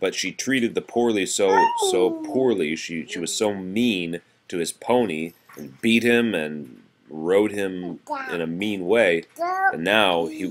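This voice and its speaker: Low-voiced man reading nursery rhymes aloud. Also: slight background noise. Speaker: parent reading aloud to a young child. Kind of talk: read-aloud of nursery rhymes for a child, with casual asides.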